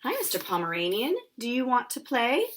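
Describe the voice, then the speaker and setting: A woman's voice: on the high side, very animated, brisk, a bit bright, slight background noise, pitched above the performer's own voice. Woman reading as a cheerful, eager storybook dog. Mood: friendly, eager. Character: cheerful, friendly, misunderstood growling dog, male. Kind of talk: children's picture book read aloud.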